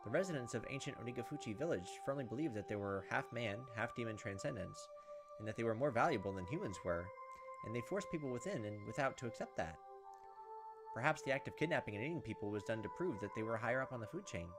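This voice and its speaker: Quick-talking man narrating a visual novel. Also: mid-range voice, animated. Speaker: gaming video host. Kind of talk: reading visual novel text aloud with commentary. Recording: game music and insect sounds behind.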